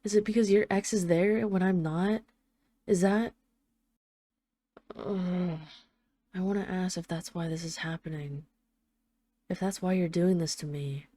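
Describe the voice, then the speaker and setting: A woman's voice: lowish voice, fairly even intonation, warm tone, no echo, lightly acted. A woman playing a tough but tender, jealous mafia boss, speaking intimately to an absent partner in a scripted audio roleplay voicemail. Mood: hurt, insecure. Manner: intimate, halting, sweary, addressing an absent lover.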